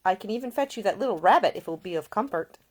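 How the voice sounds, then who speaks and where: A woman's voice: medium pitch, lively intonation, racing, pitched above the performer's own voice, natural voice. A woman voicing a caring, earnest and proper young woman in a storybook, solicitous and polite. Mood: worried, coaxing.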